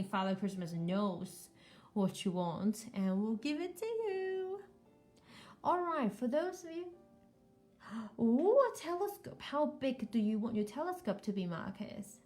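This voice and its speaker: A woman's voice: on the high side, warm tone. A woman chatting casually with children as a live storytime host, encouraging and full of questions.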